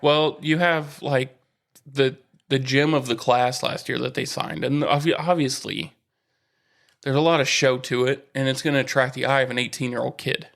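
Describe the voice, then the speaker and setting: A man's voice: medium pitch, steady tone, moderate pace, creaky, fairly bright tone. A man co-hosting a sports podcast in casual conversation, slangy, with a dry, wry manner.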